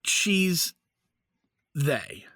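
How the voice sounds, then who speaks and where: Lively, expressive father. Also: fairly high pitch, drawn-out words, no echo, studio quality, full character voice. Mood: awkward, explaining. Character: supportive, argumentative father held in a fantasy jail. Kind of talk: naturalistic audio drama acting.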